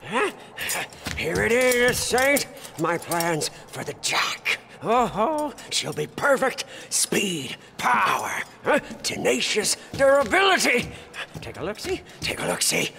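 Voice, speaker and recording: An actor playing a manic, devout wasteland car mechanic in a video game, babbling and stammering with zealous reverence. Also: male voice, high voice, wildly swooping pitch, slow pace, gravelly, bright, forceful tone, slight background noise, full character voice. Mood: excitable, fussing. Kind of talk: theatrical video game character acting.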